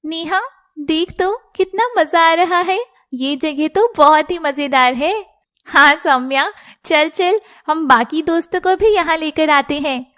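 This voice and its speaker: Woman voicing a high, girlish cartoon serpent maiden. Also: measured pace, a bit bright. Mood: playful. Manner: chirpy, teasing, girlish. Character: playful young female shape-shifting serpent girls.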